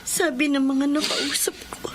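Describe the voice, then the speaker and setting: A woman's voice: high voice, fairly even intonation, normal speed, background noise, lightly acted. A woman playing a tearful, pleading young wife and mother in a Filipino radio drama, crying as she speaks.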